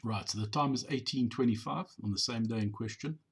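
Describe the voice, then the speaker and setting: A middle-aged business owner giving a measured, explanatory voice-over on drive-thru footage, sometimes repeating words. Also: male voice, mid-range voice, slightly rough.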